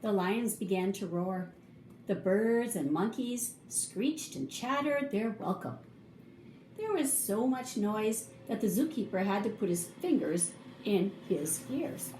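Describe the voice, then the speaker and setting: A woman reading a children's picture book aloud, with expressive, drawn-out emphasis for young listeners. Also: mid-range voice. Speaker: storybook reader. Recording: roomy echo, faint background noise.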